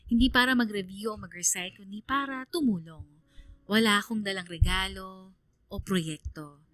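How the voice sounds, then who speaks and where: An actress playing a quiet, reflective student who narrates her memories in a measured, wistful way. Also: medium pitch, no room sound, natural voice. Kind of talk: scripted dramatic narration. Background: music.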